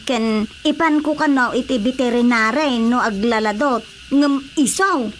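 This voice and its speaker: A woman playing a lively, defensive wife who dotes on her cat, in an Ilocano radio drama comedy with an Ilocano accent. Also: a little high, a little slow, a little hiss or hum, close to an everyday voice. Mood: complaining.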